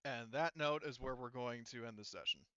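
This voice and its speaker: A man runs a tabletop game in a casual, sweary way. His voice is bright and smooth at an average pitch, recorded dry and a little dull. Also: normal speed, no echo. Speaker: game master. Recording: slightly dull, dry close-up audio.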